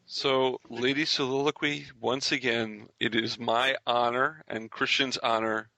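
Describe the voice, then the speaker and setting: A man hosting an interview podcast, with a gravelly, raspy voice and folksy announcer patter aimed straight at listeners. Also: medium pitch, steady tone, unhurried, sharp tone, no echo, poor audio. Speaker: podcast host.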